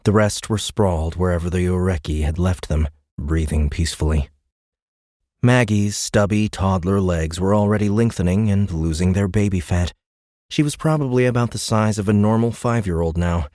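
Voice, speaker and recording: Deep-voiced male audiobook narrator. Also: moderate pace, a little mellow, no echo, clean studio sound. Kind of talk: scripted narration of a science fiction novel. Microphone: close studio microphone.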